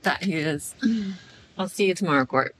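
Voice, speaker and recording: A woman's voice: low voice, moderate pace, a bit bright, a little hiss or hum. An actress playing a devoted, grateful working single mother in an audio drama. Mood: warm, tired.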